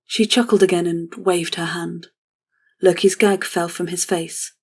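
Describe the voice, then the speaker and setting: Female narrator for scripted fantasy, slightly low register, very level and solemn. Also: steady tone, a little slow, a little mellow, husky.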